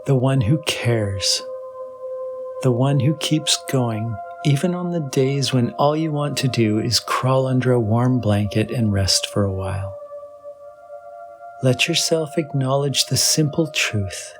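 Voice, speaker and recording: A man guides a meditation at a very slow pace, leaving long pauses between phrases. His delivery is soothing and measured, in a mid-range pitch. Clear meditation music plays behind his voice. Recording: voice over background music with singing bowl.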